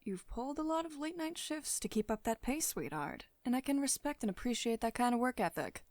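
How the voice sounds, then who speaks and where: A woman playing a poised, teasing, mildly dominant company boss in an intimate ASMR roleplay, speaking in a measured, authoritative and condescendingly affectionate manner. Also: fairly high pitch, animated, dry recording. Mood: poised, probing. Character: poised, teasing, mildly dominant female CEO of tech firm. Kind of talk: ASMR roleplay, intimate one-sided dialogue. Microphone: close studio-style microphone, dry voice-over.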